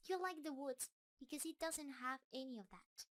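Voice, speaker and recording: A woman's voice: sits high, normal speed, fairly bright tone, a little hiss or hum. A woman playing a shy, sweet, lovestruck spider monster in an intimate roleplay audio, nervous and hesitant in her delivery. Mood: tender, soft. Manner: nervous, hesitant, stammering, gushing.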